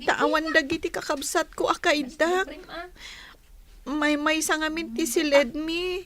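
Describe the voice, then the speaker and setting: A woman's voice: high-pitched, fairly even intonation, slow pace, a bit bright. A woman with an Ilocano accent playing a tearful, plaintive young girl in a radio drama. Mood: lonely, sad. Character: sad, tearful young girl, left-out child.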